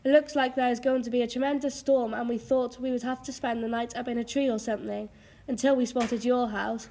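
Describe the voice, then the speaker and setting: A woman's voice: fairly high pitch, steady tone, brisk, mellow, lightly acted. A woman playing a sensible, bossy young sister in an amateur radio drama. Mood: polite, apologetic.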